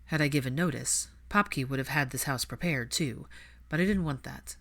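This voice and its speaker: A woman narrator voicing a patient, wry young aristocratic father in a measured, dry way for a fan fiction reading. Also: very deep voice, fairly bright tone, pitched below the performer's own voice, exaggerated voice. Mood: reflective, measured.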